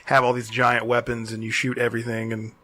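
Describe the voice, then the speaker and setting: A man's voice: mid-range voice, monotone, fairly quick, sharp tone, dry recording. A man co-hosting a podcast, chatting casually and debating in a blunt, opinionated way with plenty of swearing. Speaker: podcast co-host. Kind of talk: casual chat debating movies and games.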